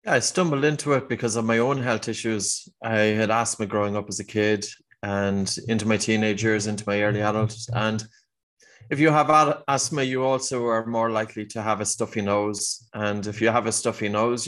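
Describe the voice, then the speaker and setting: A man's voice: lowish voice, fairly even intonation, moderate pace, a bit bright. A middle-aged man with an Irish accent, a guest on a podcast, earnestly explaining his work with a few restarts. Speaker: guest, breathing teacher. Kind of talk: conversational interview answer.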